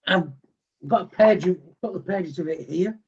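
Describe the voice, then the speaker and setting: A man from England chatting wryly on a casual panel and pitching his own project. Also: measured pace, lo-fi sound, slight background noise. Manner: wry, teasing, self-promoting. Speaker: panel host, comic artist.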